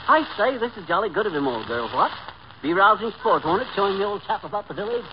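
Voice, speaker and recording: A man playing an excitable, boastful husband with slangy, folksy talk and pompous airs in an old-time radio sitcom. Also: on the high side, a little fast, lightly acted. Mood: pompous mock-British. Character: excitable, corny, boastful husband with big ideas. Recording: muffled, noisy old radio recording.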